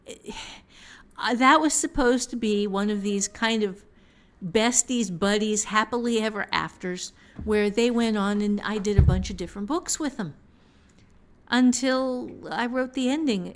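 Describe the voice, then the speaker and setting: A woman's voice: medium pitch, a little slow. A woman novelist co-hosting a casual discussion of fiction writing, speaking emphatically and often with a chuckle in her talk. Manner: chuckles often while talking, emphatic repetition.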